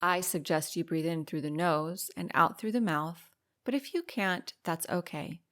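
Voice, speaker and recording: A woman leading a guided meditation in a calm, soothing, unhurried way, pausing between short instructions. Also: fairly low pitch. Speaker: meditation guide and podcast host.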